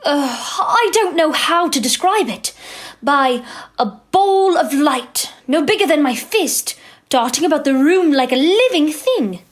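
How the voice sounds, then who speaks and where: Woman as a gentle anxious mother, high and lilting. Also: animated, a little slow. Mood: anxious, hushed. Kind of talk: audio drama acting with some narration.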